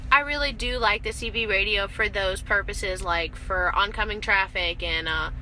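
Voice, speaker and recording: A woman's voice: medium pitch, normal speed, low-quality recording. A young woman chatting casually and bluntly for a vlog, bantering with her co-host inside a truck cab. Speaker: team truck driver and video host. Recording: steady vehicle and road noise.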